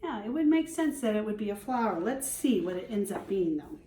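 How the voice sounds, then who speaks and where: A woman schoolteacher reading a children's storybook aloud, explaining simply and pausing to put questions to young listeners. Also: mid-range voice, sing-song, warm tone. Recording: roomy echo, distant microphone.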